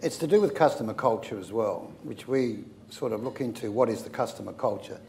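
An older man talks on a discussion panel with a gravelly edge to his voice. He explains his points through conversational anecdotes. A lapel mic picks him up over faint room noise. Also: medium pitch, lively intonation, brisk, a little mellow. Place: event studio with branded backdrop.

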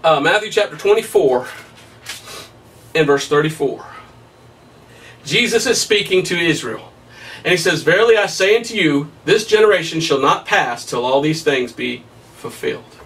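A man in his thirties preaching a Bible study at a whiteboard, emphatic and excitable, his voice somewhat hoarse. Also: a little high, normal speed, pauses often, distant mic, poor audio. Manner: emphatic, rising to excited peaks, laughs at his own points. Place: small room in front of a whiteboard. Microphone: camera at a distance.

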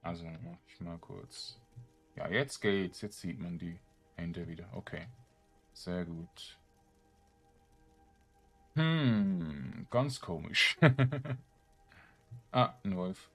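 Slow, very low-voiced man commentating gameplay. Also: expressive, fairly bright tone, faint music behind. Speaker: gamer, let's play host. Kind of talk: casual let's play commentary.

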